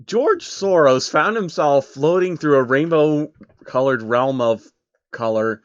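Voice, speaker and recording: Animated male story narrator. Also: fairly high pitch, slow pace, clean tone, dry recording, lo-fi sound. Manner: animated, theatrical. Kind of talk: fan fiction reading with amused asides.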